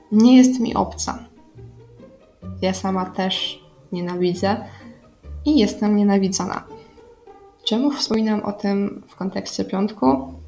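A woman's voice: medium pitch, vocal fry, darkish tone. A young woman hosting a radio segment as a personal, diary-style monologue, speaking directly to listeners as if confiding in them. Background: background music.

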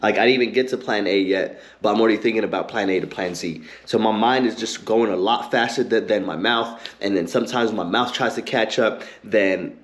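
A man hosting his own podcast, ranting heatedly and cursing a lot, with an occasional stutter and pauses filled with um and like. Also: fairly low pitch. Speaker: podcast host. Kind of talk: ranting commentary.

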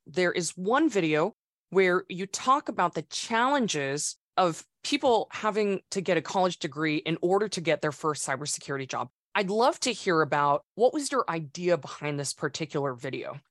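A woman hosting an interview, asking questions in a warm, encouraging way. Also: lowish voice, dry recording, studio quality. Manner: warm and encouraging, complimenting the guest. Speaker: podcast host.

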